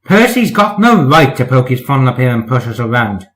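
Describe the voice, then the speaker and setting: A man's voice: mid-range voice, very animated, a little fast, fairly bright tone. Man voicing resentful, mischievous railway trucks. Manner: grumbling, conspiratorial, taunting. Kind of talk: children's storybook character voice.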